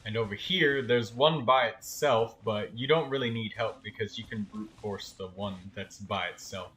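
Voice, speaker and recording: Low-voiced man coaching through a video game. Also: normal speed, pushed and bright, slight background noise. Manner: instructive, colloquial. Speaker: livestream game host. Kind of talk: casual gameplay commentary and coaching.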